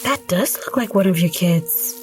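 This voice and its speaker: A woman's voice: fairly low pitch, animated, normal speed, a little mellow, faint music behind, close to an everyday voice. An actress playing a blunt, teasing young village woman in an audio drama.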